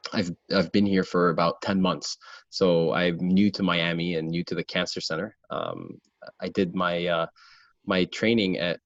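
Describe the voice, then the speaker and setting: A man's voice: deep voice, no room sound. A man, a doctor giving an informal lecture over a video call, earnest and full of ums and restarts. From Lebanon. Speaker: gynecologic oncologist giving a lecture. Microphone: computer mic on a video call. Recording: dull, dry video call audio.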